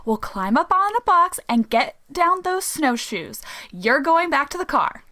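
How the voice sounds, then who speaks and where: A sweet-natured teenage daughter voiced by a woman in an old-time radio play. Her voice is high and girlish, with an earnest, eager delivery. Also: very animated, unhurried. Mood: scheming. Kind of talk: vintage radio drama acting.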